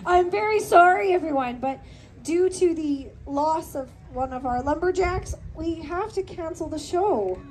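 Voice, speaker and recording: A woman from Canada hosting a live outdoor comedy stunt show as MC, loud and theatrical to the audience. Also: extremely high pitch, unhurried. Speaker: MC of a lumberjack show. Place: outdoor mountain amphitheatre, filmed from audience. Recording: distant PA sound, loud music and crowd.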